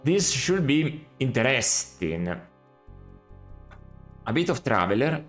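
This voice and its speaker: A man's voice: mid-range voice, big pitch swings, takes it slowly, a bit bright, faint music behind. A man streaming a retro game, chatting casually and musing aloud, often correcting himself and adding critical asides.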